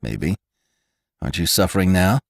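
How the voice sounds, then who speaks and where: A man's voice: deep voice, very animated, normal speed, no room sound, studio quality. A man voicing a gaunt, earnest ranch rider who speaks in an urgent, emphatic way.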